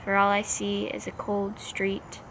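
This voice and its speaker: A woman reciting slam poetry in deliberate, measured short phrases. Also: mid-range voice, completely flat delivery, a bit bright, rough recording. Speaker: slam poet. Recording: faint background noise.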